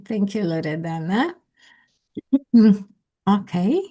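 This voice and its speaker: A woman with a Polish accent, leading an online English conversation class as its teacher, with careful, halting non-native phrasing. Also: medium pitch, expressive, dark tone. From Poland. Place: indoors on a video call.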